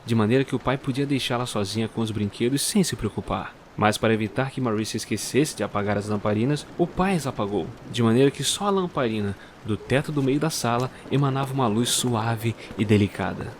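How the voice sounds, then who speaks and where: A man narrating a dark Christmas tale in a measured, suspenseful manner that speaks directly to the listener. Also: medium pitch, slight background noise. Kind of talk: scripted storytelling narration.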